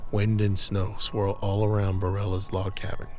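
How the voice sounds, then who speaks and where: A man narrates an audio drama in a fairly low voice. He reads scripted, dramatic scene description. Music plays clearly underneath him. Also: monotone, normal speed, darkish tone.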